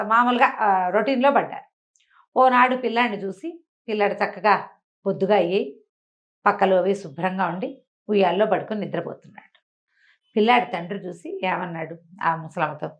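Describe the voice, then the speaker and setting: A woman telling a folk tale aloud in a conversational, oral storytelling style. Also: a little high.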